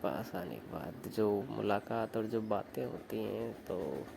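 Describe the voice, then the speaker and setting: A man playing a village lover coaxing his sister-in-law on the phone, with a Rajasthani accent and a slow, suggestive way of talking. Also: on the low side, expressive, creaky, background noise, full character voice. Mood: earnest, suggestive. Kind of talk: staged phone call, casual flirtatious chat.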